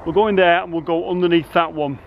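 A man's voice: a little high, fairly even intonation, fast talker, gravelly, phone-quality sound, slight background noise. A man in his fifties on a casual exploring vlog, enthusiastic and rambling, talking into a handheld camera outdoors inside a huge concrete structure. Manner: enthusiastic, rambling, full of exclamations. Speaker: urban explorer vlogger. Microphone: camera mic, handheld selfie framing.

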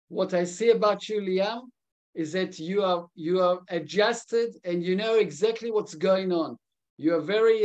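A man's voice: sits high, a little mellow. A man in his fifties with a mixed South African and Israeli English accent, giving a live webinar talk over a video call with many restarts and fillers. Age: 50s. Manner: earnest, with frequent restarts and fillers. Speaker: webinar speaker.

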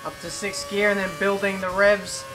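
A man with a British accent talking over his racing game in a chatty, excitable play-by-play, his voice a little croaky. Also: sits high, moderate pace, over music, poor audio. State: slightly croaky. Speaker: gaming YouTuber.

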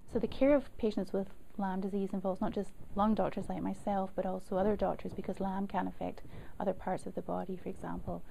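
A middle-aged woman physician speaks in a measured, explaining tone. Her voice carries a strong gravelly fry and a dark, mellow timbre. A faint room hiss sits under the recording. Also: medium pitch, rough recording. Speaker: pulmonary physician. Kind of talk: explanatory interview to camera. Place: office indoors.

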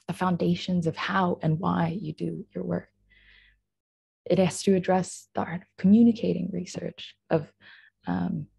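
A woman's voice: fairly low pitch, vocal fry, warm tone, husky, dry recording. A young woman giving an online conference keynote as a community manager, talking reflectively with many fillers and restarts. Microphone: computer mic on video call.

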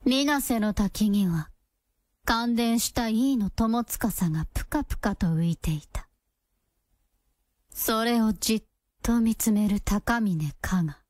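Slow-paced woman narrating a radio drama. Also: fairly high pitch, a little mellow, no room sound, studio quality. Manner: measured, storyteller-like. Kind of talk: scripted storytelling narration.

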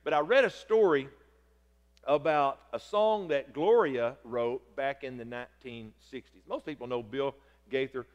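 A middle-aged man, preaching loudly and excitedly from a church pulpit, often stumbling over his words. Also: mid-range voice, unhurried. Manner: loud, excitable preaching with repeated stammers. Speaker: pastor. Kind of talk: sermon. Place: large church sanctuary. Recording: long reverb of a church hall.

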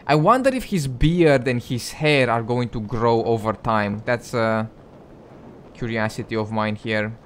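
Male gaming host, young adult, mid-pitched, casual play-by-play commentary. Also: unhurried, a bit bright, slight background noise.

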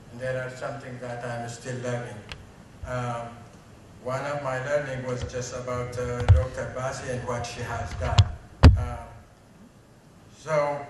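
A man's voice: medium pitch, slight background noise. A middle-aged man with an Ethiopian accent giving a hesitant speech at a tribute event, speaking into a stand mic on stage, with frequent fillers. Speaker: interim business school dean. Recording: echoey hall, recorded from a distance.

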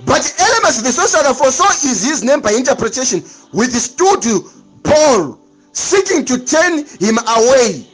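A man's voice: very high voice, big pitch swings, unhurried, husky, rough recording. A man preaching a sermon, emphatic and repetitive, with dramatic swings in delivery.

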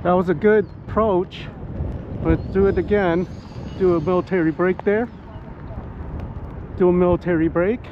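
A man giving casual, excitable live commentary outdoors to viewers as he pilots a model plane. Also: high-pitched, normal speed, pauses often. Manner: excitable, whooping, talking to viewers while piloting. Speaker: hobbyist RC pilot and vlogger. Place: outdoors at a flying spot in wind and rain. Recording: muffled outdoor audio with background noise.

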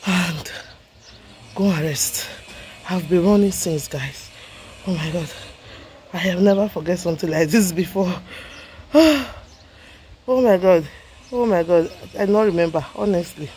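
Nigerian woman vlogging on a noisy street. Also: on the low side. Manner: talks to camera while walking, sighs and laughs often. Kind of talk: casual vlog narration. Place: outdoors on city streets. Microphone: phone held at arm's length.

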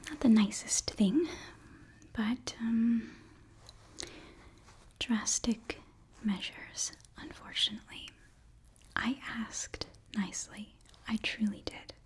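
A young woman playing an earnest, flustered witch librarian in a whispered, breathy ASMR roleplay, speaking haltingly close to the microphone. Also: on the high side. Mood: resigned. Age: young adult. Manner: hushed, halting, fussy. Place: small indoor set, close to microphone.

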